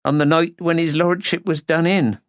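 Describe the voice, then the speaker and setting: A man's voice: fairly high pitch, sing-song, moderate pace, fairly bright tone, no room sound, dull audio, pitched above the performer's own voice. A man voicing a plain-spoken, gossipy, practical housekeeper woman in an audiobook, homely and a little bossy. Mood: chatty, regretful. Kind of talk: audiobook character voice.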